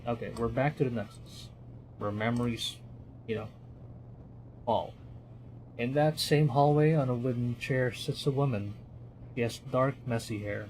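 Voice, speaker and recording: A man's voice: mid-range voice, a little hiss or hum, rough recording. A man from the Philippines, a streamer reading a horror visual novel aloud in a dramatic storytelling manner, with commentary.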